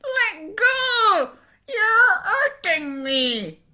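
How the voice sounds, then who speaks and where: A woman voicing a polite, naive young elephant child in an animated storybook reading, earnest and childlike. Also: high voice, a little slow, pitched above the performer's own voice, exaggerated voice. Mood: pained, upset.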